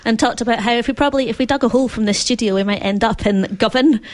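A woman's voice: a little high, fast. A woman with a Scottish accent hosting a radio interview from a studio. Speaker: radio show host.

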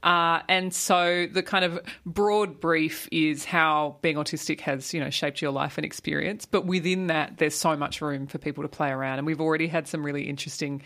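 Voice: medium pitch, steady tone, moderate pace, hardly any pauses, bright, forceful tone. A writer who also co-hosts on the station, talking eagerly in a radio studio and rambling with fillers and asides. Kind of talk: enthusiastic radio interview chat. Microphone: studio microphone.

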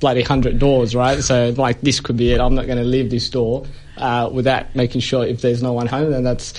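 A man's voice: mid-range voice, level tone, moderate pace, darkish tone. A man from Ethiopia, a comedian guest chatting casually and telling stories with lots of fillers and laughter. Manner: chatty storyteller, many fillers, laughs often. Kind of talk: casual anecdotal interview chat.

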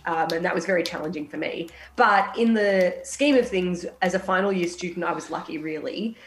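A young woman on a radio health panel, a final-year medical student, talking frankly and a little croaky from a cold. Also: lowish voice, brisk, roomy sound, slight background noise, poor audio. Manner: frank, reflective, many restarts. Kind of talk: casual radio panel chat.

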